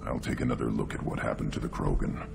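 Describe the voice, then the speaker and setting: An actor voicing a hulking young tank-bred alien warrior in a video game, blunt and scornful, growling out terse, brooding fragments. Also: male voice, a bass voice, level tone, quick pace, creaky, heavy background noise, heavily acted. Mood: brooding, contemptuous. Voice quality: guttural, growling. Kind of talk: video game dialogue acting.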